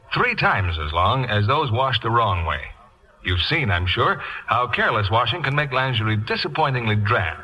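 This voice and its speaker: Lively vintage radio ad man. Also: mid-range voice. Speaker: commercial announcer. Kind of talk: 1950s radio advertising read. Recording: dull old radio recording.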